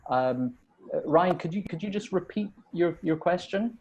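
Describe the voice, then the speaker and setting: A man's voice: sits high, animated, moderate pace, darkish tone, dull audio. A man moderating an online webinar over a video call, hesitant and repeating his words as he calls on people.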